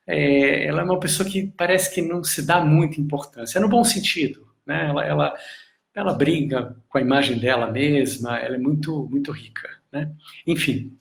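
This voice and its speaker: A man who teaches breathing and meditation tells a story at a relaxed pace in a live stream, often pausing on fillers and restarting his sentences. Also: on the high side, vocal fry. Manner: hesitant, many fillers and restarts. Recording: echoey room.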